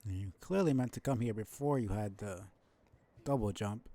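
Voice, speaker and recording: Low, gravelly man casually commentating gameplay. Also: moderate pace, mellow. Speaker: livestreamer playing a video game.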